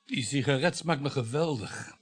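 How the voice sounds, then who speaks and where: A man's voice: medium pitch, moderate pace, slightly rough, close to an everyday voice. A man in a Dutch radio play acting a weary, resentful husband, with a brooding, dramatic delivery. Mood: impatient, defiant. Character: weary, resentful husband devoted to his mother.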